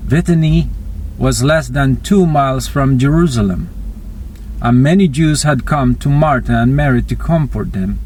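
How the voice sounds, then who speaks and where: A man narrating scripture in an expressive reading style. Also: medium pitch, moderate pace, slight background noise, low-quality recording.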